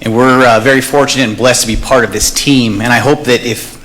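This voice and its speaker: A man's voice: medium pitch, fairly even intonation, a little fast, fairly bright tone, a little hiss or hum, rough recording. A man presenting for a nonprofit outreach group, earnestly introducing his team.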